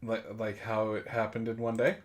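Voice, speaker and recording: A man's voice: lowish voice, monotone, moderate pace. A man hosting a casual comedic book club podcast, bantering in a mock-exasperated way.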